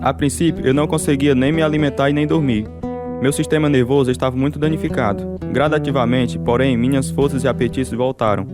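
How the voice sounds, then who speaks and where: An actor as a devout male prisoner, forgiving and grave, with a measured delivery and a medium-pitched voice. It is radio drama, with guitar music clearly behind him. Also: steady tone, lightly acted. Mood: grave narrating. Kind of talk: radio drama narration and dialogue.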